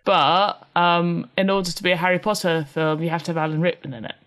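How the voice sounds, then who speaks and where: A woman's voice: on the low side, steady tone, quick pace, a bit bright. A woman co-hosting a comedy podcast in a playful, casual chat, over a remote call. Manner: playful, breaks into sung and read-out German. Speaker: podcast co-host.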